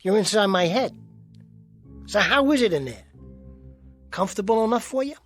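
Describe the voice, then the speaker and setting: An actor playing a cocky, hot-tempered jockey in a radio drama, with streetwise, slangy, combative talk. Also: male voice, high voice, animated, moderate pace, faint music behind. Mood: irritated, agitated. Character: cocky, defensive, hot-tempered jockey, quick to take offence.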